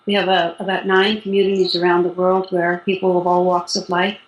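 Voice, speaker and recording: Calm older woman in a roomy echo. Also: fairly low pitch, muffled recording. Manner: calm, unhurried explaining with light laughs. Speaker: spiritual teacher. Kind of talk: interview guest.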